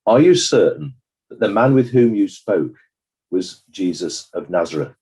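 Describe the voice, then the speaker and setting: Man acting a mellow, animated court examiner. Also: low voice. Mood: probing. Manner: formal, deliberate, probing. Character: formal, probing male examiner at a legal inquest. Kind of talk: scripted courtroom drama questioning.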